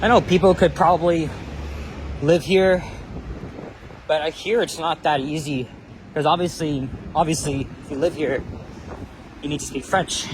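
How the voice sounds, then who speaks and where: Guy vlogging on a busy street, slightly high voice, traffic noise all around, rambly. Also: steady tone, unhurried, pauses often. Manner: chatty, rambling, restarts phrases often. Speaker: walking tour vlogger. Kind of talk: casual walking commentary. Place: busy city street outdoors.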